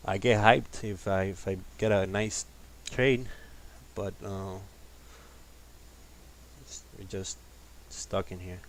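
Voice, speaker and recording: A man with a deep voice hosting a live trading stream, talking in a calm, laid-back, casual way. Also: takes it slowly, slight background noise, rough recording. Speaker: host of a live trading session. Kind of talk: casual livestream market commentary.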